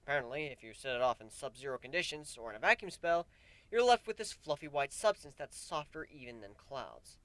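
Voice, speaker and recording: A man's voice: medium pitch, sing-song, a little fast, a bit bright, dry recording, voice pushed up. A man voicing a weary, wry male pegasus who grows plants, in a dramatic fan fiction reading. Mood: earnest explaining.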